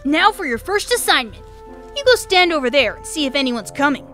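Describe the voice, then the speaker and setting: Woman voicing a squeaky, brash little rat boy. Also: very animated, brisk, bright, forceful tone, soft background music, heavily acted. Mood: bossy, scheming. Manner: cheeky, bossy, slangy. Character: brash, impish young rat boy, pushy street kid. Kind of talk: theatrical audiobook character acting.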